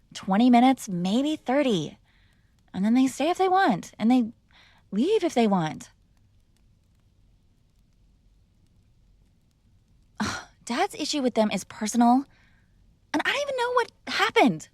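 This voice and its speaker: A woman's voice: fairly high pitch, no room sound, studio quality. A woman playing a spoiled, defiant mob boss's young daughter in a conversational, argumentative ASMR roleplay. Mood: cautious, coaxing. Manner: conversational, sighing, coaxing and argumentative. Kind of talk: ASMR girlfriend roleplay, one-sided conversational acting.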